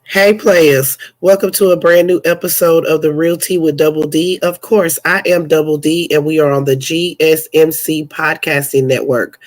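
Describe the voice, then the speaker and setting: A woman's voice: low-pitched, steady tone, normal speed, hardly any pauses. A young woman hosting a news podcast, chatty and sassy, calling her listeners honey and sounding stuffy from a cold. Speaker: podcast host and news commentator. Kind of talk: casual news commentary podcast.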